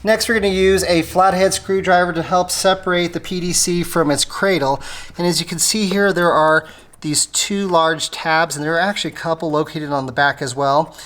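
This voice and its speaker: A woman's voice: lowish voice, steady tone, moderate pace, fairly bright tone, soft background music. A woman presenting an informal step-by-step repair tutorial, talking casually while working with her hands. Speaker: presenter of a how-to car repair video. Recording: clearly noisy, clicking tool sounds.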